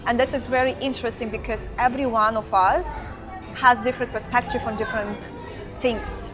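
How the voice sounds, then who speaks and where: Brief: young woman, fairly high voice, halting but lively. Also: music in the background, crowd noise. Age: young adult. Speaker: startup founder. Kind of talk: interview. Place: indoor lounge with a sofa.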